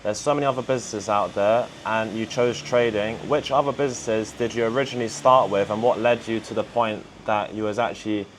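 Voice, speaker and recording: A man's voice: fairly low pitch, steady tone, brisk, few pauses, slight background noise, rough recording. A man hosting a podcast, prompting his guest with casual interview questions.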